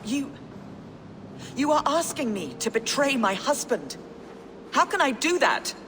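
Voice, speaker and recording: A woman's voice: high voice, big pitch swings, measured pace, a bit bright, a little hiss or hum, heavily acted. A woman playing an anguished, devoted medieval captive queen in a video game, in a reverberant space. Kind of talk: video game cutscene acting.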